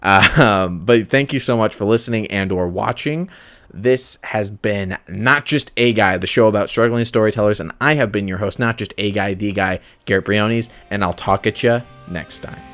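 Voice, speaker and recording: A man with a lowish, smooth and clear voice hosts a podcast on his own. He chats casually and rambles, often breaking off his own sentences for self-aware asides. The recording is close and dry, with no room sound. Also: moderate pace, fairly bright tone, dry recording. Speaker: podcast host. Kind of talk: casual solo podcast chat.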